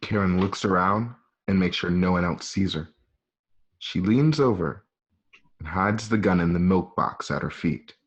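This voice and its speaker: A man's voice: low-pitched, measured pace. A man narrating an amateur radio drama, reading out the scene directions as the narrator. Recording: dull lo-fi home recording.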